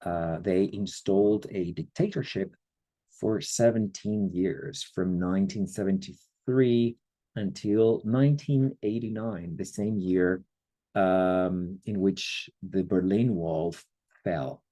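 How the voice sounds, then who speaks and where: A middle-aged man from Chile giving an online history lecture, speaking haltingly with many fillers and checking in with listeners. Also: deep voice, steady tone, mellow. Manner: slow, hesitant, many fillers, checks in with the audience.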